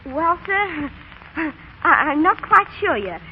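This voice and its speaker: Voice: sits high, sing-song, takes it slowly, a little hiss or hum, full character voice. A performer playing a shy, polite young British schoolboy in an old radio drama, hesitant and deferential. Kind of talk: 1930s radio drama acting. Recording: muffled 1939 radio broadcast.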